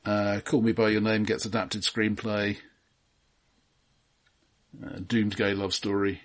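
A man with a husky voice co-hosting a film discussion podcast, listing results and giving dry, understated opinions. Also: on the low side, little pitch movement, takes it slowly. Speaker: podcast co-host. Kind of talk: film discussion, reading out awards and box office lists.

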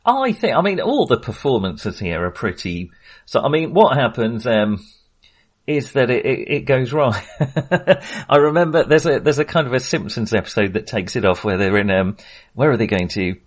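A middle-aged man co-hosting a film podcast, talking casually and haltingly, often restarting his sentences. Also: mid-range voice, very animated. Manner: hesitant, halting delivery with false starts; chuckles often while talking.

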